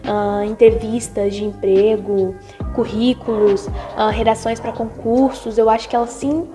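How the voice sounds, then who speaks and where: A woman's voice: a little high, warm tone. Hesitant Brazilian teen girl. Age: teens. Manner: hesitant, thinking aloud. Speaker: student. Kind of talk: school project opinion.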